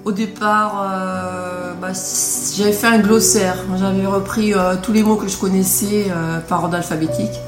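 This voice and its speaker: A woman from Noirmoutier, a native patois speaker, answering a radio interviewer in a halting way with many hesitations. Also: medium pitch, steady tone. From Noirmoutier island, France. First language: patois of L'Épine. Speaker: author being interviewed. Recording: roomy radio interview with music behind.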